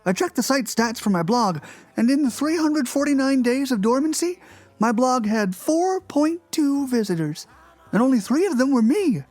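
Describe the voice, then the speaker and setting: A woman playing a lonely, morose cartoon blogger in a dramatic reading, deadpan and oddly earnest. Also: sits high, moderate pace, no room sound, faint music behind. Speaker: performer in a podcast dramatic reading. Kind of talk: dramatic reading of a comic blog.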